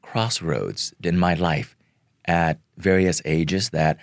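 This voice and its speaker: A middle-aged man from Vietnam whose first language is Vietnamese, talking slowly and earnestly as an interview guest, pausing often with fillers. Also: low-pitched, steady tone, creaky, no echo, clean studio sound. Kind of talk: reflective interview talk.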